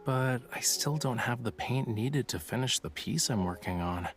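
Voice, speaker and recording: A man's voice: medium pitch, expressive, normal speed, over music, lightly acted. An actor playing a playful, dreamy young male artist in a romance game, speaking in a casual, teasing way. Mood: matter-of-fact. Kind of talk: voiced dialogue in a romance game.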